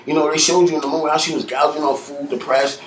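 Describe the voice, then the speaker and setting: A man's voice: a little high, level tone, quick pace, a crowd in the background. Laid-back New man in his thirties. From New York City. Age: 30s. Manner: laid-back street slang, repeats himself. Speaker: rapper. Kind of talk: casual appeal to camera. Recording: echoey room from far off with faint noise.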